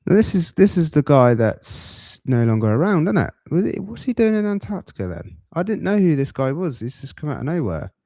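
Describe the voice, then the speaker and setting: A man's voice: on the high side, sing-song, fairly quick, hardly any pauses, dark tone, no echo, clean studio sound. A man hosting a podcast in a casual, rambling way, often breaking off into asides. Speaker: podcast host. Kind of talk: casual reaction chat.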